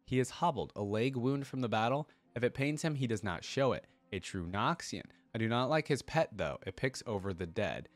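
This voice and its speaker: A man's voice: medium pitch, lively intonation, moderate pace, hardly any pauses, natural voice. A man voicing a brutal, bloodthirsty undead warrior in terse, grim declarations, as a dramatic story reading. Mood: grim, restless.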